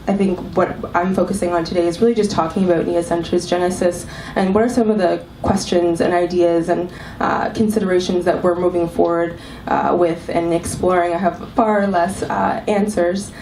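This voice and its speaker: Panelist, woman with a cold, slightly low voice, thoughtful with many ums, distant mic in an echoey room. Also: a little mellow. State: has a cold. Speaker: panelist, arts executive director. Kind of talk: panel talk to a live audience. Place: club event room. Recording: echoey room, mic from far off, faint hiss.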